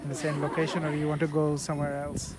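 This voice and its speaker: A man's voice: on the high side, completely flat delivery, quick pace. A man from Bangladesh in casual conversation, friendly and asking many short questions. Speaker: invited conference speaker. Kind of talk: casual chat. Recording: crowd noise behind.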